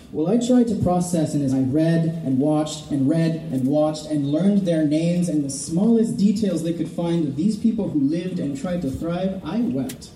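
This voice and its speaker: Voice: medium pitch, dark tone, slight background noise. A person from Florida giving an earnest, impassioned speech at a memorial vigil, solemn and rising to fervor. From Florida, United States. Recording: echoey and distant, faint ambience.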